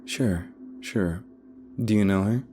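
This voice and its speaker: A man voicing a tough, short-tempered woman detective with a gruff, blunt manner in a narrated story. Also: on the low side, takes it slowly, dark tone, soft background music, voice pushed up. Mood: cold, threatening.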